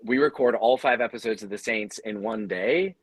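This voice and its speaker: A man's voice: fairly low pitch, moderate pace, no room sound. Man explaining, dry close sound. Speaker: audio drama producer on a panel. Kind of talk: conversational panel talk.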